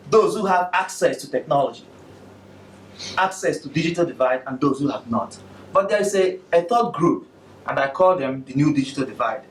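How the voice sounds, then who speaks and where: Nigerian man presenting, slightly high voice, roomy hall, set back from the mic. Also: far from the mic. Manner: measured, emphatic, laughs with the audience. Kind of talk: capstone presentation.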